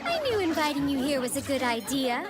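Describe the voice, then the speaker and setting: A woman's voice: high voice, sing-song, fairly quick. An actress in an anime dub playing a poised, formal young woman with a refined manner. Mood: pleased. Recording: loud music and crowd noise.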